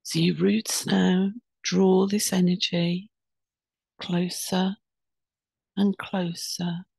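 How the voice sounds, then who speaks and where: A woman leading a guided meditation in a soothing, unhurried, instructing way with pauses between phrases. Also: fairly low pitch, flat delivery, gravelly, darkish tone, breathy edge, dry recording.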